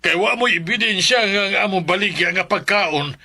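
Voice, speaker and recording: High-voiced dismissive Cebuano man. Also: brisk, pushed and bright. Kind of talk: Filipino radio drama acting.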